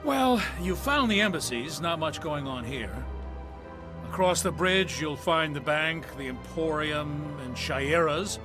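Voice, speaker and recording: Lively, higher-pitched helpful attendant. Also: male voice, a little slow, music in the background. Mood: chatty, helpful. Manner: obliging, gossipy. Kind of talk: video game dialogue.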